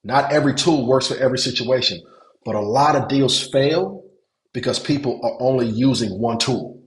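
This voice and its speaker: A man's voice: medium pitch, moderate pace. Nigerian-born man coaching in an echoey room. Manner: repeats words for emphasis, asks if it makes sense. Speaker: hotel investing coach. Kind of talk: webinar teaching.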